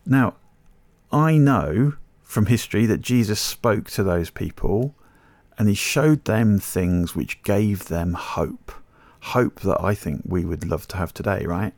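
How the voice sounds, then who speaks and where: A man co-hosting a podcast, explaining things conversationally in a measured way, sometimes posing rhetorical questions. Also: on the low side, a little mellow, dry recording. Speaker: podcast co-host.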